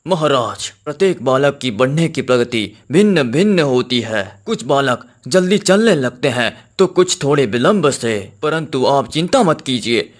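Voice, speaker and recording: A man voicing a calm, deferential royal physician in a cartoon, speaking in a measured, reassuring, formal way. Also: a little high.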